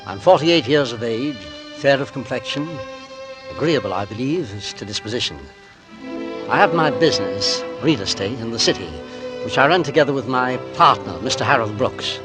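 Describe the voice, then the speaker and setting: A genteel, agreeable middle-aged businessman as a man acts him tells of his tidy life. He is precise and corrects himself gently, in 1940s radio drama style, with clear music behind him on a vintage broadcast. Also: mid-range voice, animated, normal speed, lightly acted, low-quality recording. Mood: mild, confiding. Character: agreeable middle-aged English businessman, mild, fussy, newly married. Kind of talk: 1940s radio drama acting, first-person narration.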